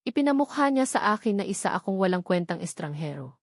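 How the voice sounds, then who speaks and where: A woman's voice: mid-range voice, very animated, fast, no echo. Woman voicing an intense, wounded male doctor, dry sound. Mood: furious. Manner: intense, controlled, demanding. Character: proud, controlled male doctor, wounded and angry.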